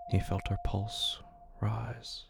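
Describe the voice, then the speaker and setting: Low, gravelly male horror narrator. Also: little pitch movement, whispered. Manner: measured, in short deliberate phrases. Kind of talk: dramatic scripted horror fiction narration. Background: prominent music.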